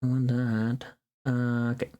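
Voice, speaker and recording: A woman's voice: a bass voice, flat delivery, a little slow, mellow, dull audio, slight background noise. A woman streamer chatting casually and thinking aloud while she works on voice recordings. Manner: thinking aloud, self-directed muttering. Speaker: streamer recording voice pack lines. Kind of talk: casual livestream chat while working.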